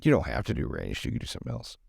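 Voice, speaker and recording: A man talks casually at a tabletop game with very lively intonation. His mid-pitched voice has a creaky edge and is recorded close and dry. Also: very fast, dry recording.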